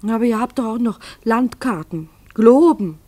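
An actress playing a naive, anxious, soft-hearted schoolteacher's wife, earnest and pleading, in a radio play. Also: female voice, fairly high pitch, animated, normal speed. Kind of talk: German radio play acting.

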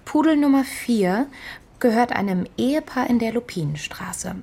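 An actress playing a wry, quick-witted amateur sleuth in a radio crime drama, speaking in a matter-of-fact way. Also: female voice, fairly high pitch, animated, vocal fry, dark tone, breathy edge, exaggerated voice. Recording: faint noisy ambience behind.

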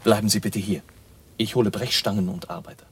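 An actor playing a grave, authoritative baron who hunts vampires, speaking formally and in a lecturing way in a radio drama. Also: male voice, fairly low pitch, steady tone, brisk, slightly rough. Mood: polite, intent.

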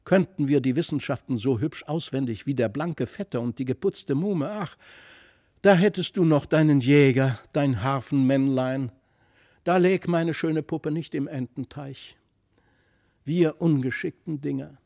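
A male narrator puts on a little girl from a storybook. She is plaintive, wistful and affectionate, with a mellow tone in a dry, close recording. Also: fairly high pitch, unhurried, no room sound.

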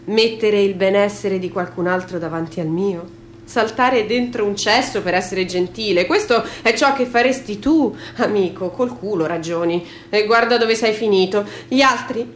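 A woman voicing a sneering, sociopathic, chatty talking turd with a croaking delivery, in a dramatic literary reading. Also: mid-range voice, normal speed, roomy sound, slight background noise, lightly acted. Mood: smug defiance. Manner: taunting, brazen, chatty.

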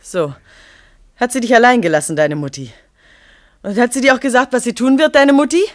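A woman's voice: on the high side, fairly quick, no echo, clean studio sound, lightly acted. An actress playing a bitter, distraught young woman at a village petrol station in a 1950s German radio play, agitated and accusing. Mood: cold, accusing.

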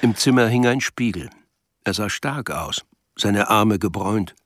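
A man's voice: lowish voice, a little slow, a bit bright, no room sound, studio quality. A man reading literary scripted narration for a radio drama. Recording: music behind the voice.

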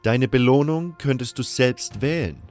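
A proud, aging fire mage speaks with stern command in a fantasy radio play, acted out by a man. His imperious lines are pushed louder over background music. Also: medium pitch, expressive, a little slow, pitched above the performer's own voice, natural voice. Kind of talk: fantasy audio drama acting.

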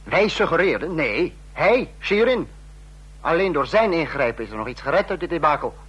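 An actor playing a sarcastic, domineering dictator in a radio drama, husky-voiced and biting as he scolds his subordinates. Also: a little high, sing-song, normal speed, fairly bright tone, full character voice. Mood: scornful anger.

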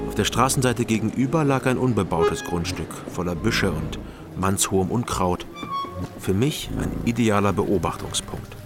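A watchful, brooding investigator narrating a radio crime story. His very deep voice is sober and terse, set over clearly heard music. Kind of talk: first-person radio crime drama narration.

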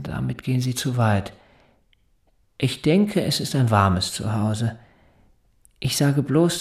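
An actor playing a self-important, intense private tutor in a radio drama, with precise, condescending, literary phrasing. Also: male voice, mid-range voice, gravelly. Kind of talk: German radio drama acting, dialogue and inner monologue.

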